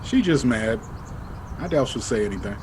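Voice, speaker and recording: A man's voice: medium pitch, expressive, normal speed, a little mellow, slight background noise, natural voice. A man acting in an audio drama as a casual, curious friend probing for news. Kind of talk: naturalistic scripted audio drama.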